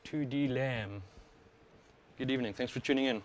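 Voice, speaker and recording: A man's voice: mid-range voice, normal speed. South African man streaming over background noise. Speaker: livestream host, overclocker. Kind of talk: casual live stream commentary. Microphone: wireless worn microphone. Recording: clear steady background noise.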